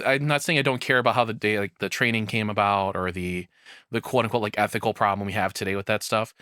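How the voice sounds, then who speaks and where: A man's voice: on the low side, sharp tone, no echo, clean studio sound. A man doing casual live reaction commentary in a rambling, frank, crudely humorous way. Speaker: reaction streamer.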